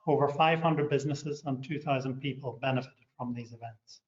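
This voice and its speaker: A middle-aged man with a Northern Irish accent, a company director giving a measured, scripted overview of his organisation. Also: medium pitch, fairly even intonation. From Northern Ireland. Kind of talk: scripted promotional talk. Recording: echoey, muffled room sound.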